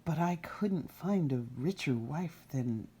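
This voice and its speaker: Lady doing a smug greedy fairytale king, slow and calculating, pleased with himself. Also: low-pitched, lively intonation, warm tone, breathy edge, exaggerated voice. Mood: smug musing.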